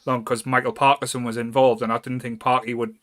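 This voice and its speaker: A man's voice: mid-range voice, level tone, quick pace, a bit bright. A man with a North East English accent chatting casually as a podcast host, blunt, sweary and jokey.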